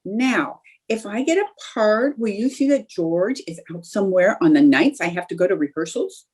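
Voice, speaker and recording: Woman playing a husky, lively scheming housewife. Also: medium pitch, normal speed. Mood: eager, conspiratorial. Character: eager, scheming, chatty young housewife with stage ambitions. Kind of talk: old-time radio comedy acting. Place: indoors, remote video call.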